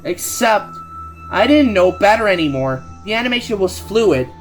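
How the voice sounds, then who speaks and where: A man reading a creepypasta aloud, slowly and haltingly, often restarting words and stepping out of the story to talk to his listeners. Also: high-pitched, expressive, faint music behind, rough recording. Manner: slow, halting reading with frequent restarts and self-corrections; breaks off to address listeners with casual asides. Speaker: creepypasta reader.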